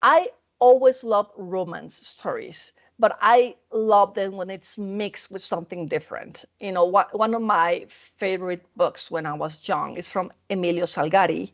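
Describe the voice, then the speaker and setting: Earnest woman author, halting answers. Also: mid-range voice, lo-fi sound. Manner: earnest, halting, many restarts. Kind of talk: interview answers.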